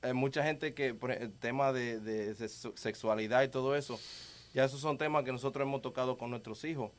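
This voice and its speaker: A man's voice: medium pitch, steady tone, fairly quick. A man from the Dominican Republic with a Dominican Spanish accent, speaking conversationally and emphatically about religion, repeating key words for stress.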